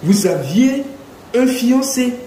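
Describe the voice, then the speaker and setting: Animated male presenter in an echoey room with rain. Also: very high voice, slow pace, a little hiss or hum. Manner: animated, rhetorical questions. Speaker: interviewer and presenter. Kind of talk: presenting and interviewing.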